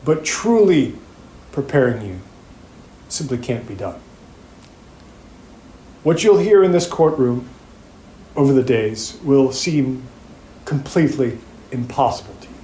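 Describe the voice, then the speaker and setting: A man playing an earnest, grave plaintiffs' attorney in an audio drama, speaking formally and with deliberate pauses. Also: medium pitch, animated, mellow, close to an everyday voice. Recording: roomy echo, faint background noise.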